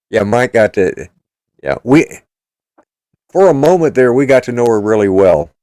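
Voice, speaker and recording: A man's voice: medium pitch, sing-song, measured pace, mellow, no room sound, studio quality. An older man hosting a casual political chat show, talking in a blunt, rambling, opinionated way. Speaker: podcast host.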